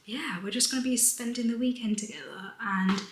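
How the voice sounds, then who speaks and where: Young British woman, casual vlogger, slight hoarseness, rambling delivery, echoey room. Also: a little high, a little fast, a little hiss or hum. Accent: British English. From United Kingdom. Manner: breezy and rambling, sometimes half-whispered. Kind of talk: casual chatty vlog.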